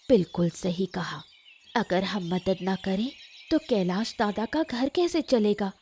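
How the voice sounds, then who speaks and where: A woman's voice: medium pitch, a little mellow, close to an everyday voice. A woman voicing an earnest, sympathetic village neighbour in a Hindi moral-story cartoon. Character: sympathetic village neighbour, wary then supportive.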